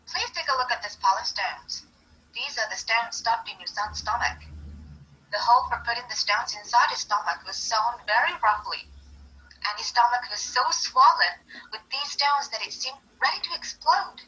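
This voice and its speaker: A woman voicing a stern prosecutor who speaks deliberately and emphatically. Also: fairly high pitch, bright, forceful tone, dull audio, a little hiss or hum. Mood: grave. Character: stern prosecutor, grave and accusing.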